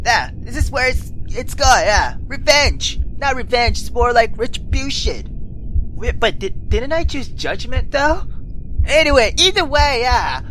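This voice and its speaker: A woman game streamer who reads story text dramatically and thinks out loud in casual commentary, swearing freely. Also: on the high side, sing-song, unhurried, slight background noise, poor audio. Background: game music.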